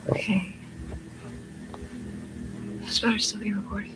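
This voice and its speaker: A woman's voice: medium pitch, little pitch movement, slow pace, natural voice. A woman acting a frightened, lost explorer in found-footage horror, nervously muttering and whispering to herself. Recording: noisy found-footage horror video sound.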